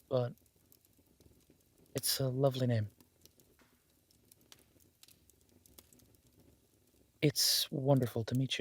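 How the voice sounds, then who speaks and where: A man playing a young werewolf duke in an intimate audio roleplay, modest and earnest, speaking informally and haltingly as if unsure of himself. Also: mid-range voice, fairly bright tone, slightly rough. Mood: gentle, warm. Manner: hesitant, self-deprecating, informal, halting.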